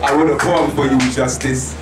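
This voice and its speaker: A man's voice: mid-range voice, flat delivery, normal speed, music in the background. A young Nigerian man reciting performance poetry with a rhythmic, impassioned delivery into a handheld mic at a live venue. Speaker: spoken word poet. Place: indoor lounge venue with live audience. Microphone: handheld mic, far camera. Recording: roomy echo from far off, crowd behind.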